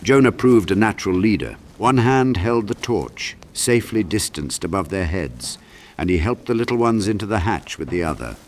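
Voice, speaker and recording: Male audiobook narrator, low register, measured dramatic read of dark fantasy, faint ambience underneath. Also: lively intonation, slight background noise. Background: low ambient sound design.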